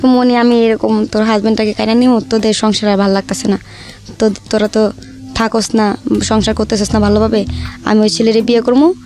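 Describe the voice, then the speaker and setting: A woman's voice: on the high side, flat delivery, fairly quick, a little mellow. A young woman with a Bangladeshi accent chattily telling her life story in colloquial speech, acting out the dialogue as she goes. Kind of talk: casual personal storytelling with re-enacted dialogue. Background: background music.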